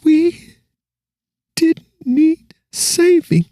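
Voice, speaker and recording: A man voicing a wounded young girl, wise beyond her age, with a thin, fading voice and slow, solemn, labored delivery in an audiobook story. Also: extremely high pitch, dark tone, no room sound, studio quality, voice pushed up, exaggerated voice. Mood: fading, tender.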